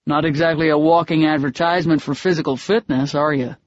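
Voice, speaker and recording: A man voicing a cocky young daredevil who teases in a low, lazy drawl. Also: steady tone, a little fast, dry recording, close to an everyday voice. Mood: taunting. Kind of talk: audiobook dialogue. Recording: dull lo-fi dry audio.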